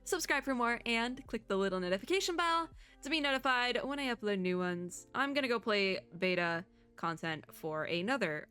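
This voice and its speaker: A woman game video host chatting casually and excitedly, rambling as she thinks out loud. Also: fairly high pitch, normal speed, smooth. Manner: excited, gushing. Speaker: host of a gaming reaction video. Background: music.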